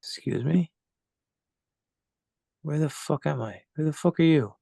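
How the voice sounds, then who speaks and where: An actor playing an angry, foul-mouthed young captive in a screenplay read, blunt, defiant and indignant. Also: male voice, a little high, a little slow, vocal fry, dark tone, slightly rough, no room sound, exaggerated voice. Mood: indignant, confused.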